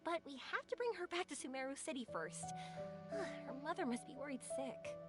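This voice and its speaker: A woman voicing an upbeat, encouraging young woman adventurer in a video game, with an animated, earnest delivery. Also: high-pitched, moderate pace. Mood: thoughtful. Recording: game music behind the voice.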